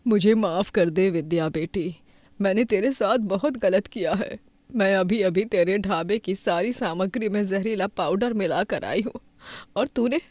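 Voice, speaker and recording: A woman's voice: medium pitch, measured pace, a little mellow, no room sound, lightly acted. A woman voicing a resentful, scheming middle-aged village mother in a cartoon story, speaking with vindictive emphasis. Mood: remorseful.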